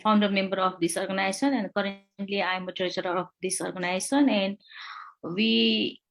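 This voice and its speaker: A woman's voice: medium pitch, level tone, rough recording. Young woman from Nepal, human rights lawyer, hesitant interview answers full of fillers. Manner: halting, many fillers and restarts.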